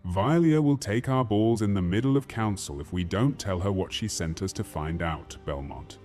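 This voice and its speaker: A man playing a brash, foul-mouthed, battle-hardened soldier in a fantasy audio drama, with a crude, forceful manner. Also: on the low side, moderate pace, soft background music, lightly acted. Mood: sardonic.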